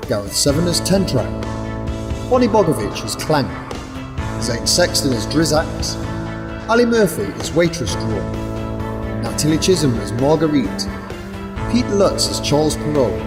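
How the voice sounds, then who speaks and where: A man announcing credits for an audio drama in a scripted, dramatic read. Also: on the high side. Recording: long reverb, loud music underneath. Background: loud music.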